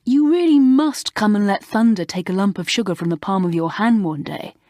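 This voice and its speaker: An impish, horse-mad teenage schoolgirl with a bright, raised, lively voice, voiced by a woman reading a storybook. She teases and corrects with eager energy. The sound is dry and close. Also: medium pitch, a little fast, no echo, voice pushed up, lightly acted. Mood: teasing.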